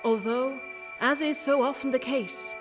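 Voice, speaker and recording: A woman acts a cerebral detective in a radio play, high in pitch and grandly eloquent. The delivery is measured, declamatory and commanding, with prominent music underneath. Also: fairly even intonation. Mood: grandly musing. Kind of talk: theatrical radio play monologue and dialogue. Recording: music behind the voice.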